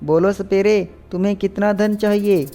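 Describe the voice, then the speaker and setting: A man voicing a magical shape-shifting female serpent, beseeching and gracious, with drawn-out phrasing, in an animated story. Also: high voice, faint music behind, voice pushed up, lightly acted. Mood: gracious, granting.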